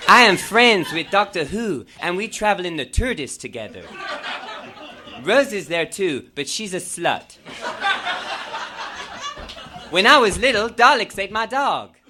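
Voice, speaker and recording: A man's voice: high voice, background noise. A man performing a comedic reading as a melodramatic, gushing nineteen-year-old fangirl telling her own story with exclaiming emphasis. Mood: chirpy, earnest.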